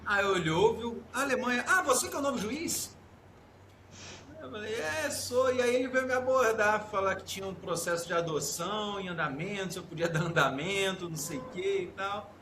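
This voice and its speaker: A man's voice: high-pitched, normal speed. A man with a Rio-leaning Brazilian accent, a judge telling anecdotes in a casual podcast chat and acting out the dialogue. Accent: Rio de Janeiro-leaning Brazilian Portuguese. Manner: lively anecdote teller, acts out dialogue, laughs often. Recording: echoey room with clear background noise.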